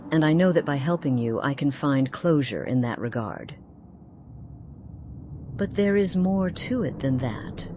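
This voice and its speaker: An actress voicing a long-lived, lonely woman in a fantasy game, thoughtful, courteous and formally eloquent in a measured way. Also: very deep voice, darkish tone, slight background noise. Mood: thoughtful, earnest. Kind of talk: scripted fantasy game dialogue.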